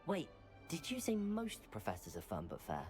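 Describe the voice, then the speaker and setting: A man's voice: a little high, huge pitch swings, normal speed, a little mellow, soft background music. A man playing a polite, keen teenage new student at a wizard school in a game, curious in manner. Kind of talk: scripted game dialogue.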